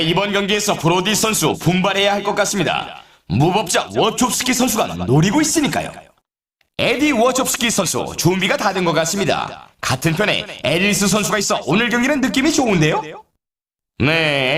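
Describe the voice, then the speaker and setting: A man's voice: high voice, a bit bright. A man playing an upbeat snowboard race announcer in a video game, with a dramatic, showy commentary delivery. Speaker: sports announcer. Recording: echoey, distant sound.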